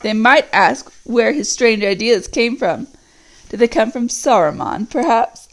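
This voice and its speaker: A woman voicing a scheming male orc messenger who sneers in a soft, menacing voice. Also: fairly high pitch, expressive, measured pace, low-quality recording. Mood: sneering.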